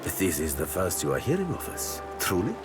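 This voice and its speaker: A courtly, calculating pirate captain for a game, very low in pitch. His phrasing is formal and elaborate, rising and falling in lively swoops. Loud music plays behind him. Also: normal speed. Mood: smooth, courteous. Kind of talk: video game character acting.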